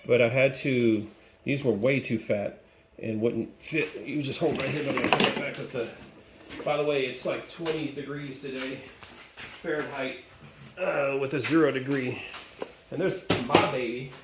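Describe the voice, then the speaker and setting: A man's voice: medium pitch, steady tone, moderate pace, heavy background noise. A man hosting a casual hands-on repair walkthrough in a workshop, chatty and thinking aloud as he goes, with some playful repetition of words. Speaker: DIY video host. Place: workshop or garage, cold day.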